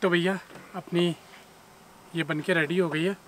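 A man's voice: high-pitched, steady tone, measured pace, fairly bright tone, slight background noise. Upbeat chatty man cooking outdoors. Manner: chatty, upbeat, addresses viewers as brother. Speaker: travel vlogger. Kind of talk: casual vlog chat while cooking. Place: outdoors by a lake beside a camper van.

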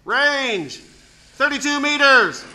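A man playing a commanding artillery officer in a radio play, shouting clipped firing orders under attack. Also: very high-pitched, animated, very slow, exaggerated voice. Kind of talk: radio play battle acting.

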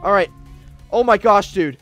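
A man doing upbeat roleplay commentary over a game, shouting commands when things get tense. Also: high-pitched, normal speed. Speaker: gaming YouTuber. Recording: faint background music.

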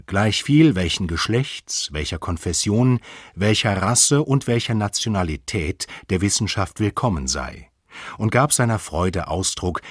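An actor reading an old newspaper report aloud as a solemn, formal, husky-voiced quoting voice in a radio play. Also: male voice, no echo, clean studio sound, exaggerated voice. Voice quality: husky, very deep.

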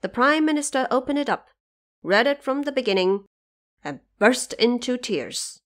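A woman's voice: a little high, animated, normal speed. A woman narrator telling a scripted story for an audio drama.

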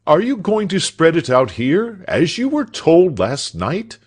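An impatient doctor played by a male reader in a storybook tale. The voice jumps high and loud, swooping through brisk questions and exclamations as he orders a servant about. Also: huge pitch swings, breathy edge, heavily acted. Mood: impatient scolding. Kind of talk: storybook character voice.

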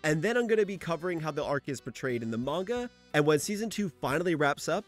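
A man hosts a video essay in an informal, conversational way. His voice sits a little high and moves up and down a lot as he reads casual commentary, with music underneath. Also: sing-song, fast. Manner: informal, conversational, mildly sweary. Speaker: video essayist, series host.

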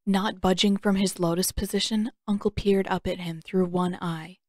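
Low-voiced woman narrating a story. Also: fairly even intonation, moderate pace, no room sound, clean studio sound. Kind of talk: scripted audiobook narration.

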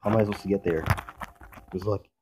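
A woman with a remarkably low, hoarse voice talks casually through her gameplay and reacts out loud to what happens. She keeps clearing her throat. Steady noise and clicking run behind her recorded voice. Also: fast. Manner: chatty, reacting aloud to the game. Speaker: gaming video creator.

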